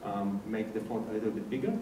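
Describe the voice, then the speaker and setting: A man from Poland with a Polish accent gives an informal technical presentation in slightly halting non-native English. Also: lowish voice, steady tone. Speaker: speaker at a developer meetup. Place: indoor meetup presentation room. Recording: echoey room, distant mic, faint noise.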